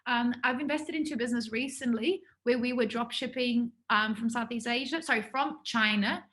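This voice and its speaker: A woman's voice: fairly high pitch, moderate pace, a bit bright. A woman giving an informal business workshop to students, talking in a conversational, enthusiastic way.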